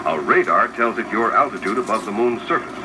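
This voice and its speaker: Low-voiced narrator, noisy old educational film. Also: male voice, expressive, a little fast. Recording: noisy 1970s 16mm film soundtrack, projector whir. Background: mechanical projector noise.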